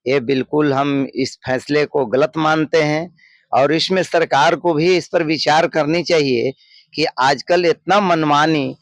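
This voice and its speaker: Male local interviewee, creaky higher voice, emphatic and repetitive opinion-giving. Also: dry recording.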